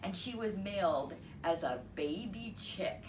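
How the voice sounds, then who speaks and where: Lady reading a kids story out loud, takes her time, mic kinda far away, roomy. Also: mid-range voice. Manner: unhurried, expressive, explains asides to young listeners. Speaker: storyteller reading a picture book. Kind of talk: read-aloud story narration. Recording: roomy, distant mic, noisy.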